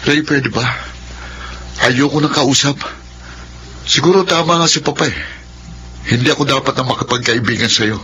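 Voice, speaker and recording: Casting: man playing brooding rich Filipino youth, mid-pitched, bitter and resentful; noisy radio-drama recording. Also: moderate pace, long pauses, pushed and bright, natural voice. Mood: cold, dismissive. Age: young adult. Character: sullen, resentful young son of a rich family.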